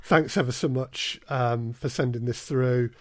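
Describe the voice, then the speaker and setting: Male podcast guest, medium pitch, close dry sound, conversational and hesitant, thinking through his answers. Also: steady tone, measured pace, no room sound. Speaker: podcast guest, audio drama producer and casting director. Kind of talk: casual interview conversation.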